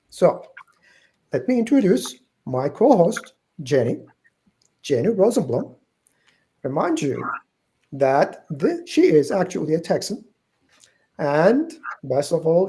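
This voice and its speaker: A man's voice: high voice, mellow. A middle-aged man with a Turkish accent hosting a live book-sales stream, chatty and joking, correcting himself as he goes. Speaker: show host and company founder. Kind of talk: live-stream show introduction.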